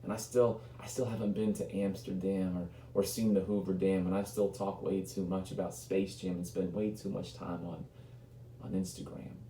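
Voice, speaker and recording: A man in his early thirties reciting spoken word poetry in a conversational, reflective, earnest way, with deliberate pauses and repeated phrases. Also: low-pitched, level tone, mellow. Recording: roomy echo, noticeable background hum.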